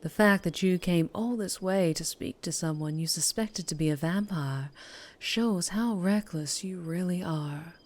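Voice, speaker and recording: Casting: woman to play a sultry Southern US female vampire biker for ASMR roleplay; low register, unhurried, teasing and guarded. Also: darkish tone. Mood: guarded, probing. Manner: slow, low, teasing, lapsing into breathy whispers. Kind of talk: ASMR roleplay, scripted character acting.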